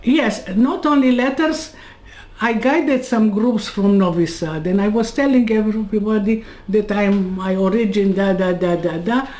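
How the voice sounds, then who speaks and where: An elderly woman from Serbia speaks very slowly on a video call from a room lined with bookcases. Her English is halting and non-native, and she wanders into asides. Her voice sits at a middle pitch for a woman. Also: a little mellow. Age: 80s. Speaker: Holocaust survivor interviewee. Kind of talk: personal life story told in an interview. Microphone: webcam on a video call.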